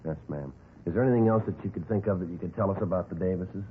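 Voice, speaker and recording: A businesslike male police detective played by an actor in an old radio crime drama. He is low-voiced, very quick and clipped, deadpan yet polite, heard through thin vintage audio. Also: phone-quality sound, natural voice. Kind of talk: 1950s radio acting, deadpan questioning. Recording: thin, faintly noisy vintage radio recording.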